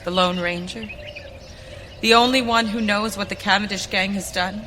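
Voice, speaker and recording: Woman acting a bright, resolute western heroine in hall. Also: medium pitch, steady tone, moderate pace, slight background noise, close to an everyday voice. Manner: earnest, resolute, theatrical. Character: determined young rancher woman becoming a masked western avenger. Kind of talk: live western radio theater acting. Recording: reverberant hall, stage performance.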